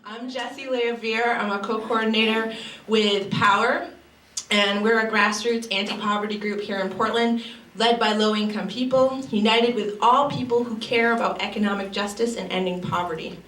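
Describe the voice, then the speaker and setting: A woman's voice: a little high, fairly even intonation, moderate pace, fairly bright tone. A woman co-coordinator giving an earnest, rallying opening speech at a public event. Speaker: anti-poverty group co-coordinator. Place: university library event hall. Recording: echoey hall, distant mic, faint noise.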